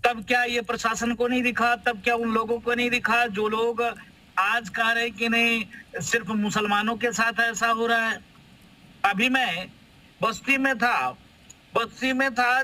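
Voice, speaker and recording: A man's voice: very high-pitched, little pitch movement, unhurried, sharp tone, rough recording. A man, a religious leader, arguing emphatically as a debate guest through personal accounts and repeated phrases. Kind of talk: anecdotal argument in a TV debate.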